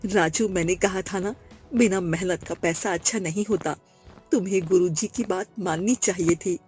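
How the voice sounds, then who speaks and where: A woman's voice: lowish voice, normal speed, a little mellow, slightly rough, soft background music, voice pushed down. A woman voicing a serious, reproachful mother in a cartoon moral story. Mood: gently reproachful. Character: Raju's worried mother, later cold and unrecognising.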